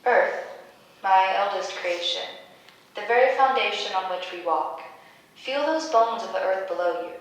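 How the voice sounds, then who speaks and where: A woman's voice: mid-range voice, expressive, moderate pace, lightly acted. A woman playing a solemn, nurturing nature goddess in a staged play reading, speaking in a stately, incantatory way. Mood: solemn, tender. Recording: very echoey room, distant mic, background noise.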